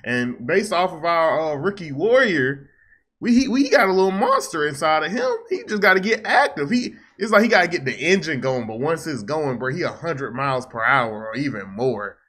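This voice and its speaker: A man's voice: on the high side, very animated, normal speed, a bit bright. A young American man giving casual, slangy reaction commentary and often repeating his phrases. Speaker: reaction video host. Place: small room at home.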